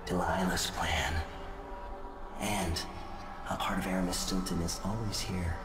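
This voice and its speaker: An actor playing a cryptic, aloof otherworldly spirit in a video game, speaking deliberately and ominously. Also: male voice, deep voice, fairly even intonation. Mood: ominous, detached. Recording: echoing reverb, music underneath.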